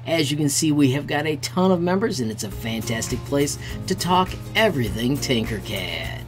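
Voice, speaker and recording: A man's voice: on the high side, big pitch swings, moderate pace, music in the background. A middle-aged American man narrating an upbeat screen-share tutorial in an enthusiastic, friendly way as an online host. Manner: enthusiastic, friendly sign-posting to viewers.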